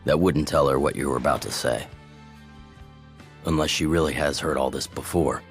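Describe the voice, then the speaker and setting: Super deep-voiced soldier guy, serious, matter-of-fact, video game scene, bit of music. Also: fairly even intonation, moderate pace. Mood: grave, analytical. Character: grave, analytical male military cadet.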